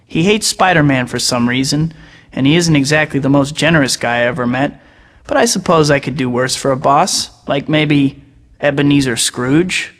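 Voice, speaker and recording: A man's voice: a little high, normal speed, a bit bright, long reverb tail, lightly acted. An actor voicing a wisecracking young male superhero in a video game, trading sarcastic banter. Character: wisecracking young male web-slinging hero. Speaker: game hero voice clips. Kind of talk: scripted game voice acting.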